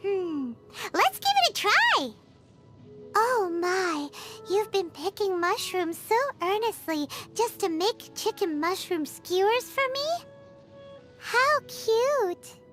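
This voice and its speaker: A woman voicing a tiny, childlike fairy companion in a video game, excitable, greedy and whiny, with a squeaky voice. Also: slow, soft background music, full character voice. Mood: playful. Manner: exclamatory, refers to self in third person. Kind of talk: video game character dialogue.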